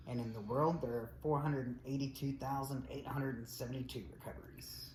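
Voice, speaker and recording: A man's voice: mid-range voice, fairly even intonation, fairly quick. Matter-of-fact male co-host, plain mid voice, blunt delivery; echoey room with faint hiss. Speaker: podcast co-host. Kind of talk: casual podcast chat, reading news figures. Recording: roomy echo with faint noise.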